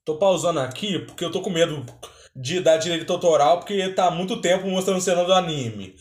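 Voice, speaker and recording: A man's voice: fairly high pitch, brisk. A Brazilian man hosting a reaction video with casual commentary, recorded in a roomy space.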